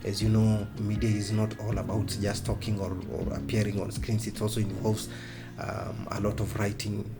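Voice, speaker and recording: A man's voice: on the low side, completely flat delivery, moderate pace, vocal fry, loud music behind the voice. A man with a Kenyan accent explaining things in an interview as a project mentor.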